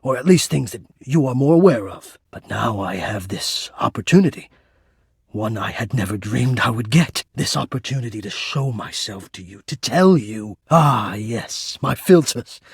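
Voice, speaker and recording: An actor playing a shy, devoted, lovestruck male air-vent spirit in a romance video game, with breathy, formal, florid and earnest delivery. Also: lowish voice, expressive, normal speed, dry recording, clean studio sound, heavily acted. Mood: yearning, tender.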